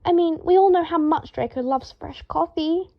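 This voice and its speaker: A woman's voice: very high voice, moderate pace, vocal fry, dull audio, pitched above the performer's own voice. A woman voicing a sensible, apologetic young woman in an audiobook reading. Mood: contrite. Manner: apologetic, reasonable. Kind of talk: audiobook character voice.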